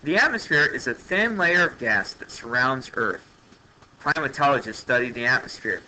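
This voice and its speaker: A man's voice: medium pitch, lively intonation, moderate pace, sharp tone, slight background noise, rough recording. A man reading an educational science text aloud like a teacher, in a plain manner with occasional stumbles. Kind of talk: educational read-aloud narration.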